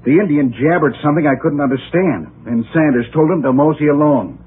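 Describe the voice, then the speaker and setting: A man's voice: fairly high pitch, a little fast, a little hiss or hum, lightly acted. An actor playing an earnest, plain-spoken frontier man in 1930s radio drama style. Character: earnest wronged frontier man from a wagon train. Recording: tinny old radio recording.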